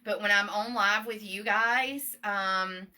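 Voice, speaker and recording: A middle-aged woman with a Southern US accent, a shop owner talking loudly and quickly through a casual live product demonstration, with many ums and okays. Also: medium pitch, fairly even intonation. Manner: loud, fast and chatty, with many ums and okays.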